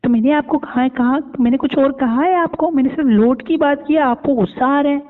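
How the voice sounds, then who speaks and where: A man's voice: very high-pitched, fairly quick. A man playing a coy, pushy, easily offended young woman with a Pakistani accent in an improvised phone prank. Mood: needling. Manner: hesitant, needling, repeatedly questioning. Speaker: prank caller. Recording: echoey hall sound, dull phone-call audio.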